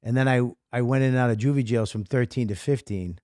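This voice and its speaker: A man with a Boston accent tells his life story as a guest comedian. He rambles and stumbles over restarts, mocking himself. His voice sits mid-pitch in a dry, close recording. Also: darkish tone, no room sound. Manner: rambling, self-deprecating, halting restarts. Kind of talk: interview storytelling.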